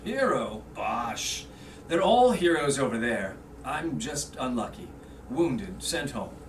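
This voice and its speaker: A woman's voice: a bass voice, big pitch swings, slow pace, full character voice. A woman playing a middle-aged soldier husband home from war, brusque, modest and eager, in a staged play reading. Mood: gruffly modest. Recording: distant mic, echoey room, faint noise.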